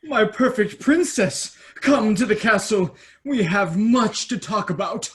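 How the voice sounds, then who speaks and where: A man's voice: high voice, lively intonation, a little slow, a bit bright. A man playing a vain, romantic young prince with a grand manner in a children's play reading. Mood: grandly romantic.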